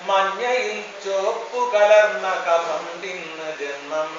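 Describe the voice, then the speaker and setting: A man recites poetry melodically, in an impassioned manner with drawn-out phrasing. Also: high-pitched, fairly even intonation. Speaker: poet. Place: small gathering at a function. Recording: echoey, distant, dull, faintly noisy event recording.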